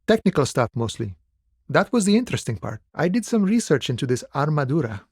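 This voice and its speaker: An actor playing an easygoing, philosophical union dockworker in a video game, talking in a relaxed, wry and familiar way. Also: male voice, a little high, a little fast, husky, no room sound, clean studio sound, heavily acted. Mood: casual, explaining. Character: laid-back philosophical union dockworker, easygoing, wryly friendly man. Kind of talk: video game dialogue voice acting.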